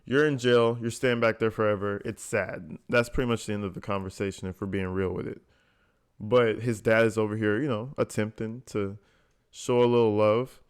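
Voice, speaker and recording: Low, clear-voiced man commenting casually. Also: fairly even intonation, moderate pace. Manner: blunt, informal, conversational. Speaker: commentator host reacting to a jail call recording. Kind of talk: casual reaction commentary, slangy and profane.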